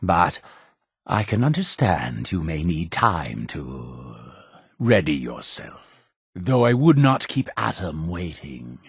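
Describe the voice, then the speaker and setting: Gravelly, menacing cult leader. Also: male voice, low-pitched, very animated, no echo, clean studio sound, full character voice. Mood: solemn urging. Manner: solemn, measured, preacher-like formality. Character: stern, suspicious male cult leader, fatherly yet menacing. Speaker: voice actor in a video game. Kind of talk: scripted video game dialogue.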